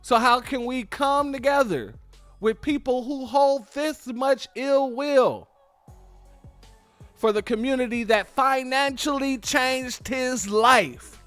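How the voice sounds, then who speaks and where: A man's voice: very high-pitched, slow pace, a bit bright, clear, resonant tone, dry recording, faint music behind. A man hosting a political commentary show, talking emphatically and informally and repeating his points to his viewers. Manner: emphatic, repetitive, informal, addresses viewers as family. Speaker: commentary channel host.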